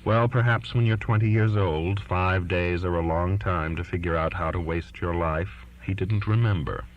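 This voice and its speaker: Low-pitched man narrating a novel, dull sound. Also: moderate pace. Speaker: audiobook reader. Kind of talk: scripted literary narration. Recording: dull lo-fi recording.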